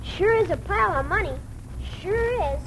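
A performer playing a small, naive, excitable farm boy in 1940s radio drama. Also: very high voice, moderate pace, exaggerated voice, rough recording. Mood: wide-eyed wonder. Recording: 1940s radio broadcast with faint noise.

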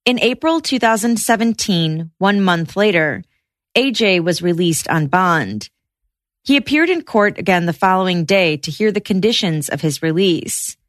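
A woman's voice: fairly low pitch, expressive, normal speed, no echo. Woman narrating true crime, dry close mic. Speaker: podcast host and narrator.

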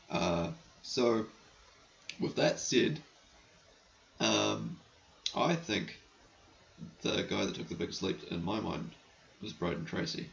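Hoarse, gravelly man losing his voice. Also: sits low, a bit bright, dull audio, slight background noise. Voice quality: gravelly, croaky. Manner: rambling, chatty, frank, sometimes crude. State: losing his voice, clearing throat. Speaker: hockey podcast host. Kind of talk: casual solo podcast, answering listener questions.

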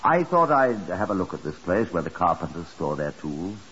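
An actor playing a calm, methodical chief inspector in a 1950s radio drama, terse and measured. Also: male voice, low-pitched, breathy edge, heavily acted. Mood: dry, probing. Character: calm, methodical police chief inspector, world-weary and dry. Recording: dull, hissy 1950s radio recording.

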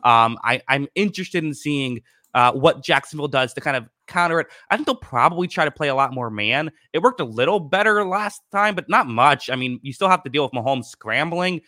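Bright-voiced man, dry close sound. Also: on the high side, expressive, brisk, no echo. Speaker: sports podcast co-host. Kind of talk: conversational American football analysis.

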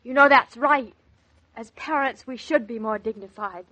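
A woman's voice: a little high, moderate pace, sharp tone, dull audio, a little hiss or hum. A woman acting a playful, stubborn young newlywed wife in a 1940s radio drama.

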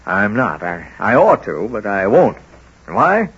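A kindly, wise country doctor with an American accent. His voice is very husky and swings freely in pitch, firm yet folksy. It sounds like muffled old-time radio drama. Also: big pitch swings, exaggerated voice. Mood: stern but kindly. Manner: folksy, halting, gently authoritative. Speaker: lead actor in a radio serial.

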